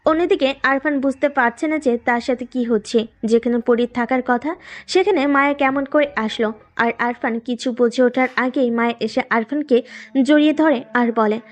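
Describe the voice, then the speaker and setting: A woman's voice: high-pitched, normal speed. A woman reading scripted narration for a romantic story as its storyteller.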